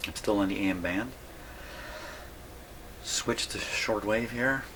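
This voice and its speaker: A man's voice: on the low side, slow. A man thinking aloud in short, musing fragments as he gives a casual hands-on demonstration as a repair hobbyist. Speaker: vintage radio repair hobbyist and video host. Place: indoor radio workshop. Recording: loud background hum and radio noise.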